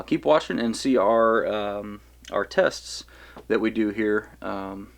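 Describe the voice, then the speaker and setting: An American man from the Kansas City area giving a casual, rambling gear review and field test, full of fillers and restarts. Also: lowish voice. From Kansas City area, USA. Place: outdoors in wooded hilly area.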